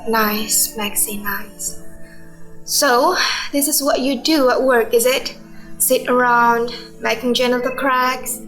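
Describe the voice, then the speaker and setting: A woman's voice: on the high side, fairly even intonation, a bit bright, soft background music, rough recording. A woman playing a sardonic wife who questions her husband in a radio drama. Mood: scornful. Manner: sarcastic, deliberate, questioning.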